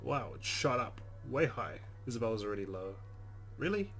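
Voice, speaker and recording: A man's voice: medium pitch, sing-song, normal speed, fairly bright tone, faint music behind. A man hosting a let's play, giving casual commentary as he goes.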